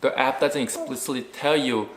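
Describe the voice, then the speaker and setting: A man's voice: mid-range voice, steady tone, normal speed, fairly bright tone. A man co-hosting a family vlog, talking casually and conversationally as a father. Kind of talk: casual couple chat with sponsor pitch. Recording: roomy echo, faint background noise.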